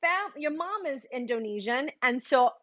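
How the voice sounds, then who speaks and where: A woman hosting a live interview, chatty and lively, whose first language is Taiwanese, talking over a video call. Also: high voice, normal speed, no room sound. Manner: chatty, lively, code-switching. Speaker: host. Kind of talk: casual interview chat. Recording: muffled lo-fi stream audio.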